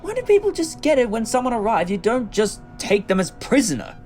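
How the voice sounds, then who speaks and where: A man playing a breezy, optimistic time-traveling adventurer in a sci-fi audio drama, with an expressive delivery. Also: very high voice, a little fast, faint music behind. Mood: indignant, curious.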